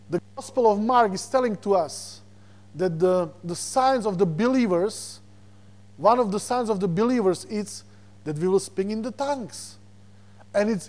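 A man from Slovakia with a Slovak accent, preaching a sermon as a guest preacher, animated and repeating words as he searches for them. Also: high-pitched. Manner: animated, repeats words when searching, chuckles between points.

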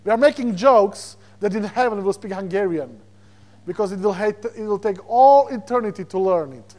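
High-voiced Slovak-accented preacher. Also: male voice, normal speed. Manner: animated, repeats words when searching, chuckles between points. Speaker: guest preacher. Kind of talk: sermon.

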